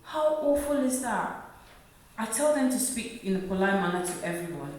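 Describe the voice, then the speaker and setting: Radio drama: actress as gentle working-class mum, worried, higher-pitched, heard in echoey room. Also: female voice, lively intonation, normal speed, lightly acted. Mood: grateful, sorrowful. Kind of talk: radio play acting. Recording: echoey room, far from mic.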